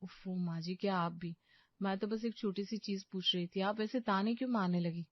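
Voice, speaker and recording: A woman's voice: medium pitch, fairly even intonation, moderate pace, no room sound, lo-fi sound, close to an everyday voice. A woman voicing a young daughter-in-law in a cartoon story, polite and crafty with some exasperation. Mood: exasperated. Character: determined, coaxing young Indian daughter-in-law. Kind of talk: cartoon moral story acting.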